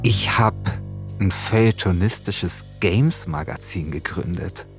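A man's voice: low voice, a little slow, over music. A man, hosting a podcast in a wry, self-ironic way. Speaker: podcast host and journalist. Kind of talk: scripted podcast narration and casual chat.

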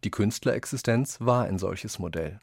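A man reads scripted quotations in a radio essay, in a measured, deliberate and articulate manner. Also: lowish voice, gravelly, a little mellow, breathy edge, dry recording, clean studio sound.

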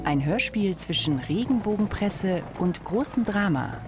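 Mid-pitched woman announcer over music. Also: animated, a little slow. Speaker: promo announcer. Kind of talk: scripted radio drama trailer.

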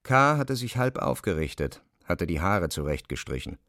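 A man's voice: low-pitched, sing-song, normal speed, a bit bright. A man narrating a scripted literary radio drama as its storyteller. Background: faint music.

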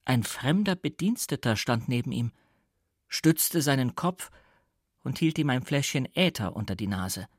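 A man reads a literary story with theatrical emphasis and deliberate phrasing. His voice sits in a middle register, recorded very dry. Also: mid-range voice, expressive, no room sound. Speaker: audiobook narrator. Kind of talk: dramatic literary narration.

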